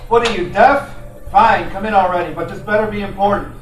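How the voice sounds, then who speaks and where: A man's voice: high-pitched, brisk, natural voice. A man playing a wisecracking hard-boiled detective, roomy sound. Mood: irritated. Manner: wry, slangy tough-guy talk. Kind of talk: old-time radio detective drama, noir narration and dialogue. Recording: roomy, distant, music behind.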